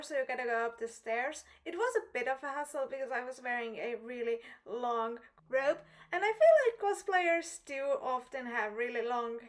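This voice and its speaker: High-voiced young woman narrating a vlog. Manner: bubbly, repeats "really" for emphasis. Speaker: vlogger. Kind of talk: convention vlog voice-over.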